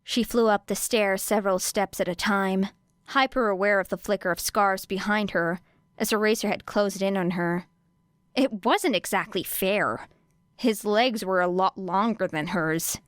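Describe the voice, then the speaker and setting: A woman's voice: mid-range voice, measured pace, no room sound, clean studio sound. A woman reading a scripted story as an audiobook narrator.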